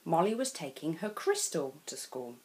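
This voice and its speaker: A woman's voice: sits low, rough recording. A woman from England with an English accent reading a children's picture book aloud as a storyteller. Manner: slow, expressive read-aloud.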